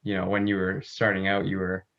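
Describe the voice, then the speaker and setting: A young man with a low voice and very little pitch movement, asking interview questions as a podcast co-host. The audio is slightly dull and lo-fi. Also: completely flat delivery, a little fast, creaky, a bit bright.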